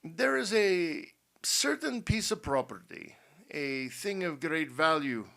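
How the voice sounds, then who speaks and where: A man voicing an imperious, menacing general who interrogates in formal, commanding speech and is quick to anger, in an audiobook story. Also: fairly high pitch, sing-song, gravelly, pushed and bright, voice pushed up, full character voice. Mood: menacing, coaxing.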